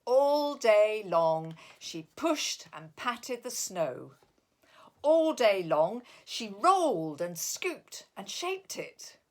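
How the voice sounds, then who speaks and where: A woman reads a children's picture book aloud as storyteller. Her delivery is unhurried and highly animated, with the pitch moving up and down freely. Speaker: author reading own picture book. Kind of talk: expressive storybook narration for young children.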